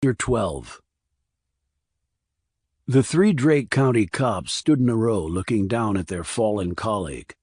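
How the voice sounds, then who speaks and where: A man narrating a crime thriller audiobook in a measured, serious, scripted reading. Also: lowish voice, expressive, a little mellow, husky, dry recording, studio quality.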